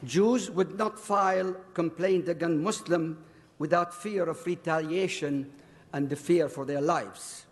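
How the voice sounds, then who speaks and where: A man in his eighties, a native Arabic speaker from Iraq, giving prepared testimony at a council meeting, reading slowly and deliberately. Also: on the high side. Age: 80s. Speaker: invited speaker. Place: large council chamber. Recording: long hall reverb.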